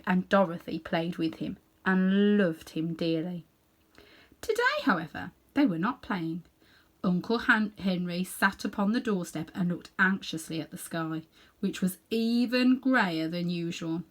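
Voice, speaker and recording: Expressive woman reading a children's story. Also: on the low side, measured pace. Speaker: storybook reader.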